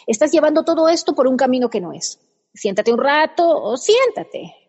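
An actress playing a sensible, patient woman friend in a radio comedy, calm and reasoning with dry irony. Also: high-pitched, normal speed, big-room sound, dull audio. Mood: persuasive.

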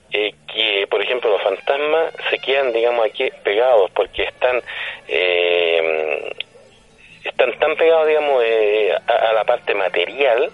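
A man with a Chilean accent explaining things conversationally as a radio guest, didactic and halting, often checking that he is being followed. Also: mid-range voice. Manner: didactic, halting, frequently seeks confirmation. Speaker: radio guest on paranormal topics. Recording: dull, band-limited phone or radio line.

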